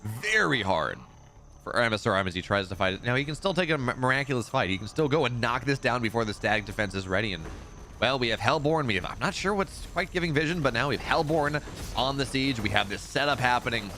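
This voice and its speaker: A man casting a live strategy game match, excitable in the fights and relaxed and rambling when he chats with viewers. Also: medium pitch, fast, slight background noise. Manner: excitable play-by-play, rising in big fights, relaxed and rambling between games. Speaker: esports caster. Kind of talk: live game commentary and chat reading.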